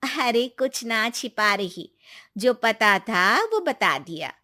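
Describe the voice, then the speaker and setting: Woman playing a bright, high-voiced, reassuring village woman. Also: sing-song, slow pace, heavily acted. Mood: guarded. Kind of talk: cartoon moral-story acting.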